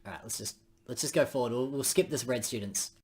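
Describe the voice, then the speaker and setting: A woman's voice: rumbling low, fast, darkish tone. A woman streamer chatting casually about a game that she made. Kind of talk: casual chat while playing own visual novel.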